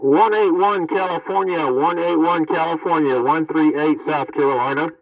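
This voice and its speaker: A man's voice: a little high, moderate pace, highs cut off, heavy background noise. A middle-aged American man talking casually as a CB radio hobbyist, often repeating his phrases. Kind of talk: casual vlog talk and radio calling.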